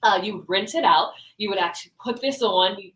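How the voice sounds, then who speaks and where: A woman's voice: mid-range voice, fairly quick, fairly bright tone. Woman presenting hair-care products, explanatory. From Bahamas. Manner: explanatory, often checks in with "right?". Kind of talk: product presentation and live demonstration.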